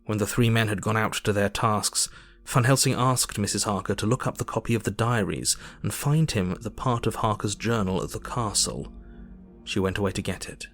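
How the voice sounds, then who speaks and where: An actor playing a sober Victorian doctor who narrates his diary in an audio drama, measured and formal. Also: fairly low pitch, a bit bright. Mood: measured, grave.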